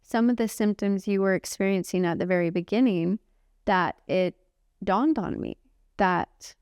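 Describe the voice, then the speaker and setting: A woman in her forties co-hosting a casual podcast chat, chatty and quick to agree. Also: mid-range voice, a little mellow, clean tone, no echo, clean studio sound. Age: 40s. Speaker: podcast co-host.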